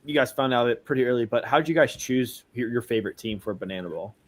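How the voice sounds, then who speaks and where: A man rattles off quick, upbeat questions as an interviewer. His pitch is ordinary and his pace is brisk in this casual exchange.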